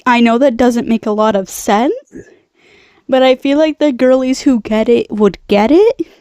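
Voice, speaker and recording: A woman's voice: fairly high pitch, unhurried, darkish tone, dry recording. A young adult woman with a nasal, congested voice, rambling casually as she recaps a film in a slangy, tangent-prone way. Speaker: video host, film recapper. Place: bedroom.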